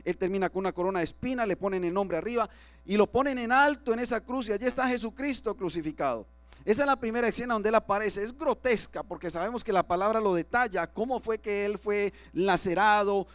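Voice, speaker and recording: High-voiced preaching man. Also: dry recording. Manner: asks the congregation for agreement. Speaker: pastor. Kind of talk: sermon. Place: church.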